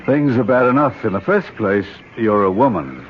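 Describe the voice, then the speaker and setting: An actor in a radio drama playing a stern, condescending archaeologist uncle who speaks in a formal, lecturing way. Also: male voice, on the low side, sing-song, moderate pace. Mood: exasperated lecturing. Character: stern, condescending older archaeologist uncle leading a jungle expedition. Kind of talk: 1970s American radio drama acting. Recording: muffled, noisy old radio broadcast.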